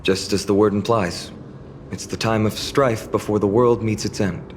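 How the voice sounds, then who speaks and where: A young man voicing a polite, earnest young warrior guide in a video game, explaining in a formal, measured way. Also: fairly low pitch, fairly even intonation, a little hiss or hum, natural voice. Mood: solemn, courteous. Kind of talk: scripted video game dialogue. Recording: orchestral game music behind the voice.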